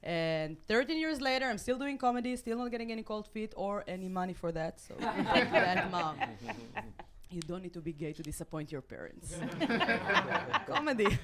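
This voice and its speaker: A woman in her late twenties from Israel, with an Israeli accent and Hebrew as her first language, performing stand-up with deadpan, self-mocking delivery and halting restarts. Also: mid-range voice, a crowd in the background. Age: late 20s. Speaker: stand-up comedian. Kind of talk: stand-up routine. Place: comedy club stage with a live audience.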